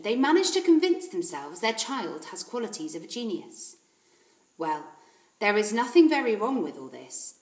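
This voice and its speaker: Animated woman reading a storybook. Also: mid-range voice. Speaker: teacher reading aloud. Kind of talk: expressive children's storybook narration. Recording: roomy echo.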